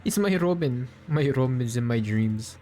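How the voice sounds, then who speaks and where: A man giving casual, animated live reactions as a game streamer, often repeating his phrases. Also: medium pitch, normal speed, slight background noise.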